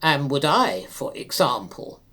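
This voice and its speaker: A man narrating a classic literary story aloud in a measured, formal and expressive dramatic reading style. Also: mid-range voice.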